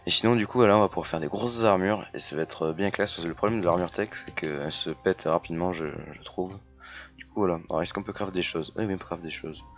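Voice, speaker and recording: Man, very low voice, hoarse, casual gameplay chatter, dull lo-fi mic, faint music behind. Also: fast. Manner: chatty, many fillers and restarts. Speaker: gaming YouTuber. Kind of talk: casual let's-play commentary.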